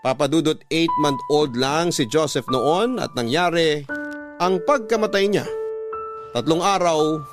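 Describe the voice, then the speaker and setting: A man's voice: fairly high pitch, measured pace. A man with a Filipino accent narrating a scripted first-person story in classic radio storytelling style. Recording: music under the voice.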